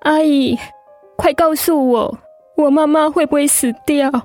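A woman's voice: high voice, steady tone, a little slow, darkish tone, faint music behind. An actress playing a scared, trembling schoolgirl in a radio drama. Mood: tearful pleading. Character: scared schoolgirl, trembling, worried for her mother.

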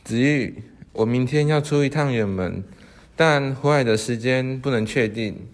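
A man's voice: mid-range voice, measured pace, natural voice. A teenage boy playing a sincere, devoted young scholar in a radio drama. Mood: reassuring. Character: earnest, devoted nineteen-year-old scholar in love.

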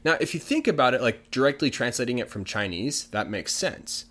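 A man's voice: mid-range voice, big pitch swings, a little fast, fairly bright tone. A man who is a native English speaker, patiently explaining language points in a casual lesson with lots of examples. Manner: patient, plain-spoken explaining with many examples. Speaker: English teacher.

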